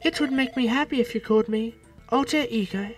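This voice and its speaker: A woman voicing a soft-spoken young male artificial intelligence in a video game. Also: fairly high pitch, fairly quick, soft background music, voice pushed up, natural voice. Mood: gentle. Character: gentle young male AI program.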